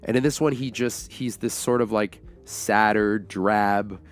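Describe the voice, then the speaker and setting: A man's voice: on the low side, fairly even intonation, unhurried, soft background music. A man co-hosting a podcast, talking casually and with emphasis as he reviews a film. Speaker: podcast co-host reviewing films.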